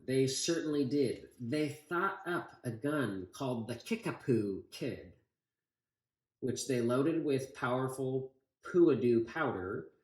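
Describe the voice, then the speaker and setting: A man reading a rhyming children's story aloud expressively, with deliberate emphasis on phrases. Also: medium pitch. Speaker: volunteer storybook reader. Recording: echoey room.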